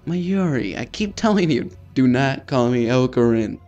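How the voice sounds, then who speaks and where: A man's voice: mid-range voice, expressive, unhurried, darkish tone, lo-fi sound, faint music behind, lightly acted. A man playing a grandiose, paranoid young mad scientist from a visual novel, with pompous, emphatic delivery. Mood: exasperated.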